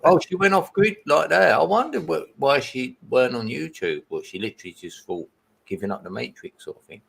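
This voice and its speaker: A man with a Cockney accent from London's East End chats in an easygoing, agreeable manner. His gravelly voice moves a lot in pitch, and he laughs readily. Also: expressive, a little fast, fairly bright tone. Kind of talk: casual chat.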